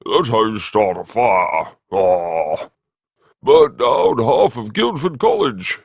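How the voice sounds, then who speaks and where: A man's voice: fairly low pitch, lively intonation, slow, creaky, no echo, clean studio sound, full character voice. A man voicing a blustering, pompous old university grandee in an audiobook, exclaiming theatrically and gleefully reminiscing. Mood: gleeful boasting.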